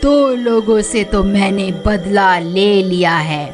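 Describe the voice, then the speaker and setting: A woman voicing a vengeful bald witch in a horror cartoon, threatening and cackling with drawn-out words. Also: mid-range voice, lightly acted. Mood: gloating, vengeful. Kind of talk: Hindi horror cartoon voice acting. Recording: music behind the voice.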